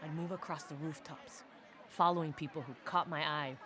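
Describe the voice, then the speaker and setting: A woman's voice: deep voice, fairly even intonation, moderate pace, a bit bright, a faint crowd. A woman playing a young special forces soldier from the slums, telling her story in a confiding, casual game audio log. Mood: reflective, fond. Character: young female special forces soldier, tough favela-raised street kid. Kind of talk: dramatic first-person audio log narration.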